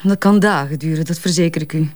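A woman acts out a self-assured Flemish advertising strategist in a crime drama. She answers police questions briskly and candidly, with small hesitations. When pressed she turns defensive, but the delivery stays natural. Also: sits low, darkish tone, natural voice. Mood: composed, firm.

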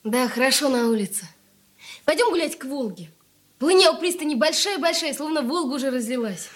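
A woman's voice: sits high, expressive, a little fast. An actress playing an affectionate, naive young girl, a caring sister, in a Soviet radio drama, speaking simply and eagerly. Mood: gentle coaxing.

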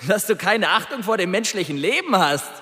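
A brash, taunting male convict in a radio drama. His voice is high and piercingly bright and jeers down a long, echoing prison corridor. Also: big pitch swings, brisk, soft background music, exaggerated voice. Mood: scornful.

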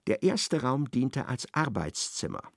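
Male radio-play narrator, measured and ironic, gravelly voice, dry studio sound. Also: mid-range voice, wildly swooping pitch, fairly bright tone, no echo.

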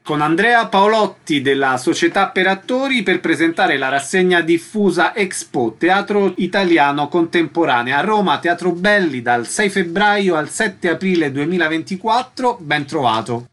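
A man's voice: on the high side, animated, moderate pace, fairly bright tone. A man hosting a radio show, reading scripted announcements and putting questions to a guest. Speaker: radio host.

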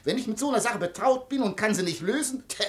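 A man's voice: high-pitched, animated, quick pace. An actor in a 1950s German radio play, playing a fussy, self-important imperial police detective, anxious about his career. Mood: insistent, huffy.

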